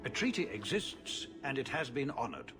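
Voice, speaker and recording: Weary, formal middle-aged city ruler. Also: male voice, medium pitch, huge pitch swings, over music. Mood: wry, resigned. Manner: measured, formal, world-weary. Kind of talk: video game cutscene acting.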